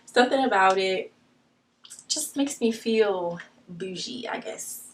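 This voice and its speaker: A woman's voice: mid-range voice, animated, slow, recorded from a distance, slight background noise. A young woman from Long Island, New York, with a light Long Island accent, chatting casually in her first get-to-know-me vlog, full of ums and restarts. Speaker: new YouTuber.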